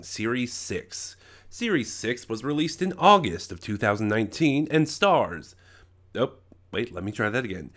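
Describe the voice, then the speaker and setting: A man giving a casual, unscripted, opinionated review, stuffed up with a head cold, halting and often rephrasing as he thinks. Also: mid-range voice, a bit bright.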